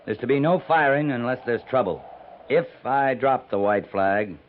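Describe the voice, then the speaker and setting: A man's voice: medium pitch, normal speed, lightly acted. A man acting a tough, principled, guilt-ridden American cavalry captain, middle-aged and blunt, in 1950s radio drama style. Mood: stern, measured. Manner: terse, commanding. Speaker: actor. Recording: muffled, noisy 1950s radio recording.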